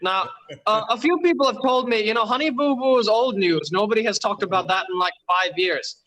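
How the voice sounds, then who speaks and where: A young man from Bangladesh with a Canadian-influenced accent delivers a dry, deadpan stand-up set from home over a video call. His voice is high and strikingly bright. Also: brisk, low-quality recording. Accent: Canadian-influenced English. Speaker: stand-up comedian.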